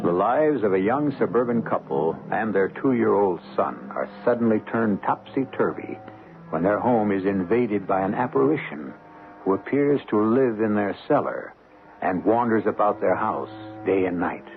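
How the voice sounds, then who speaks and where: An actor playing a grave radio mystery host, narrating with solemn, dramatic, deliberate phrasing in a 1970s style. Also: male voice, lowish voice, lively intonation. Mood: ominous. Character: grave male radio mystery host introducing true ghost story. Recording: tinny vintage radio recording, music behind. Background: music.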